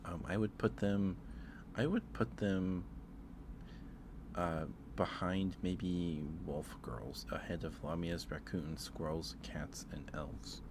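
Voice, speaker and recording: A man with a low voice talks casually, as on an ASMR livestream. He thinks aloud, pausing and hedging his way through his thoughts. Clear ambient noise sits behind him. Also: fairly even intonation. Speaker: streamer.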